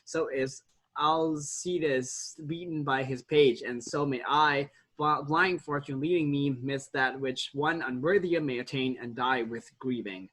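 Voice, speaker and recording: A man playing a boastful, lovelorn noble suitor in a theatrical Shakespeare reading, declamatory but halting. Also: mid-range voice, a bit bright. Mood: boastful, rueful.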